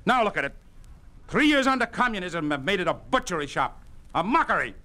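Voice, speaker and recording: An actor playing an indignant, idealistic doctor in a 1950s radio drama, impassioned and bitter. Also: male voice, high voice, sing-song, brisk, pushed and bright, full character voice. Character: indignant, idealistic doctor defying the communist regime. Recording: vintage 1950s radio broadcast.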